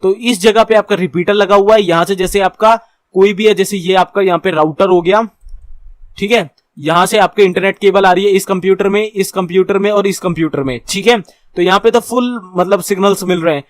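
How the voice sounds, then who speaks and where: A man with an Indian accent giving an explanatory tutorial as an instructor, reading points out slowly and then explaining them informally with repetition. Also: sits high, no room sound. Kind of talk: explanatory tutorial lecture mixing Hindi and English.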